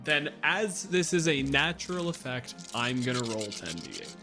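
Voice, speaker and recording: A man acts as game master on a podcast, talking at a middle pitch. He is casual and swears freely as he narrates the game and rulings, with some music behind him. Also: lively intonation, moderate pace, sharp tone. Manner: profane, casual.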